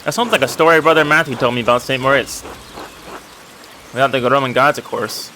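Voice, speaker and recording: A man's voice: medium pitch, brisk, bright, forceful tone, slight background noise, lightly acted. A man voicing a curious, courteous, educated artist in a historical video game, polite and inquisitive. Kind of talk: reading video game dialogue aloud.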